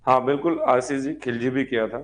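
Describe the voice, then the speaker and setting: Male history lecturer, mid-pitched voice, dictates in repeated phrases and poses questions he then answers. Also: steady tone. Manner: repeats phrases slowly for note-taking; asks and answers his own questions. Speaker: history teacher. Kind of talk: live exam-prep lecture with dictation.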